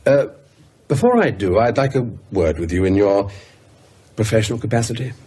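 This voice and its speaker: An actor playing a weary, proud British academic with a dry, exasperated manner in a radio drama. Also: male voice, lowish voice, animated, normal speed, dark tone, husky. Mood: measured. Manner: dry, reluctant, exasperated, sighing. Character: weary, proud, sardonic male academic historian.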